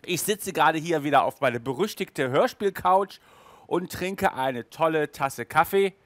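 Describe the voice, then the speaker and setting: A middle-aged man presents a casual review in an enthusiastic, chatty way. He takes his time as he talks, in a voice a little higher than most men's. The sound is dry, picked up by a headset mic in a small room. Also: unhurried, a bit bright, no room sound. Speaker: host of an audio drama review show. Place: small furnished room indoors, on a couch.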